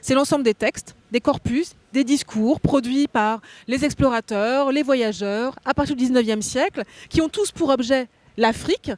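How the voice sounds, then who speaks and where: A woman's voice: sits high, normal speed, hardly any pauses, a little mellow, no room sound. A woman raised in France, a guest thinker explaining ideas at length on a cultural talk show. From born in Belgium, raised in France. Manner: articulate, academic, explains concepts at length. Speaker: guest philosopher. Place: hotel meeting room.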